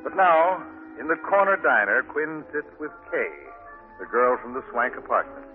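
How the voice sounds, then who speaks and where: A man's voice: a little high, very animated, normal speed. A man narrating a radio play in dramatic, scripted 1940s style. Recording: tinny old radio broadcast with music.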